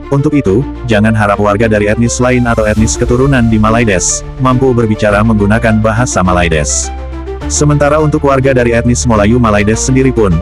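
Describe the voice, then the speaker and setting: Guy reading a formal critical commentary, music running under him. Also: mid-range voice. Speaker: commentary narrator. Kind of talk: scripted commentary voice-over.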